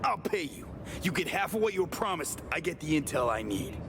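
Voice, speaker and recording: A cool, shrewd video game mercenary. The voice sits a little high for a male. Delivery is dry and clipped, with noticeable noise behind it. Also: very animated, a little fast. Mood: cool, sardonic. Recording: clear background noise.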